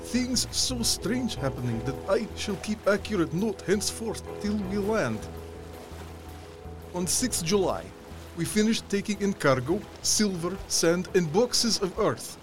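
A man playing an anxious sea captain in an audio drama, gravely and deliberately reading out his clipped ship's log. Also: high-pitched, very animated, music in the background. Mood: grave, methodical.

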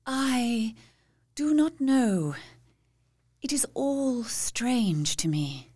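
An actress playing a haunted, earnest young wife in an audio drama, speaking in a slow, measured way. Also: female voice, a little high, animated, a little mellow, full character voice. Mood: entranced. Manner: slow, measured, dreamy under trance.

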